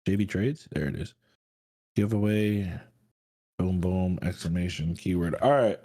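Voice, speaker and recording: A man's voice: sits low, a little slow, mellow. A man hosting a live trading stream, brash and crude, hyping the chat between trades. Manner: crude, brash hype talk. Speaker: host.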